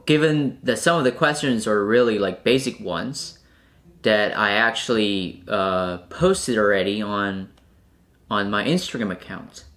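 A young Korean man with a Korean accent, answering viewer questions casually to camera in a small room, pausing to think with fillers. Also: fairly low pitch. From South Korea. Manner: relaxed, thinking aloud with fillers. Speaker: climbing vlogger.

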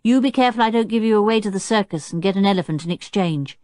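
A woman's voice: medium pitch, quick pace, a little mellow, no echo, clean studio sound, voice pushed up, lightly acted. A woman voicing a cheeky, mischievous young boy. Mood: teasing.

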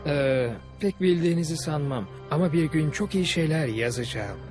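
Male actor doing nervous young would-be writer, highish voice, hesitant, cartoon dub, loud music behind. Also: normal speed, natural voice. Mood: nervous, subdued. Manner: hesitant, deferential. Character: nervous young aspiring writer in his first battle. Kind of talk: dubbed cartoon dialogue. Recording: loud music over the dialogue.

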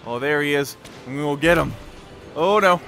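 A man giving excited live gameplay commentary in short, exclamatory bursts, talking to himself as he plays. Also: a little high, fairly quick, creaky. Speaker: gamer playing and commenting. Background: game music.

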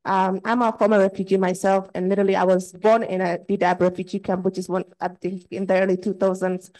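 A woman's voice: mid-range voice, flat delivery, a little fast, a little mellow, poor audio. A woman from Somalia, a former refugee and diaspora advocate, asks an earnest, personal question from the floor of a conference session. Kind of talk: spontaneous audience question at a conference. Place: conference hall.

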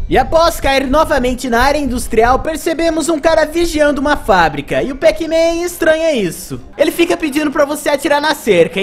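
High-pitched young Brazilian man narrating games. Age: young adult. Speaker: gaming video narrator. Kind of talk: casual game review narration.

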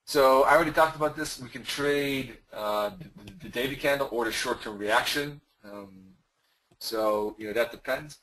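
Webinar presenter, male, mid-pitched, voice going hoarse, casual and full of fillers. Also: normal speed, fairly bright tone, rough recording. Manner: loose, conversational, with many fillers and restarts. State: losing his voice, clearing throat. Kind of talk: screen-share webinar explanation.

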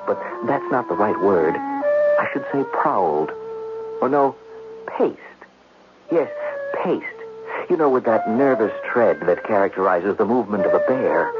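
An actor playing an anxious, indignant gambler, narrating and pleading theatrically in a 1970s radio drama. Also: male voice, fairly low pitch. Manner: theatrical, stammering, nervous. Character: anxious, indignant male gambler in debt, narrating his plight. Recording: dull vintage radio recording, music behind.

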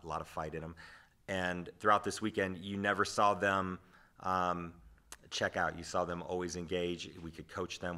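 A man in his forties, a head volleyball coach, answering reporters' questions in a postgame press conference at a desk mic, measured and reflective with frequent restarts. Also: sits low, pushed and bright. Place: press room with sponsor backdrop. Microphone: desk microphone.

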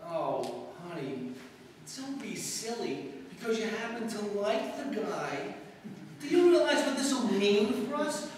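A man playing a brash, self-serving boyfriend in an old-time radio drama, brisk, bossy and excitable. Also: high voice. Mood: excited. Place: live stage in a hall, distant audience camera. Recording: echoey hall stage from far off, noisy.

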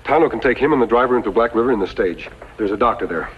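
A dulled vintage radio western recording with faint noise. A man's voice acting as a resolute, upright cowboy hero speaks quickly and with formal authority. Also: medium pitch, lively intonation, lightly acted. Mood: resolute, concerned. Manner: authoritative, decisive, formal diction. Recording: dull, noisy 1950s radio recording.

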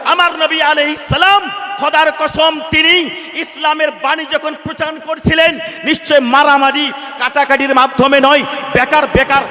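Shouting, high-pitched male preacher. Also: little pitch movement, moderate pace. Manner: declamatory, repetitive, calling on the crowd to respond. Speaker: Islamic preacher. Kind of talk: fiery public religious sermon at a waz mahfil. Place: large religious gathering, over a loudspeaker. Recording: loudspeaker PA with long hall reverb.

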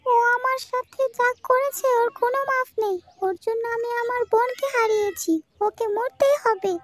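A woman voicing a vengeful, wary female cartoon ghost, shrill, dramatic and drawn-out. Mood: bitter, vengeful.

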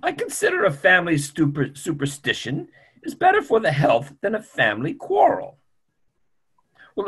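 A middle-aged actor playing a kindly, reflective old doctor in a stage play reading. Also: male voice, a little high, a bit bright, breathy edge, full character voice. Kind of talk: stage play reading over video call. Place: small room, video call.

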